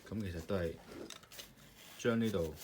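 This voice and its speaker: A man with a low voice talks through an assembly in a loose, step-by-step way, with plenty of fillers. Steady noise and the click of plastic parts run under his voice. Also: normal speed. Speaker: maker demonstrating his product. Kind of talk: casual hands-on assembly demonstration.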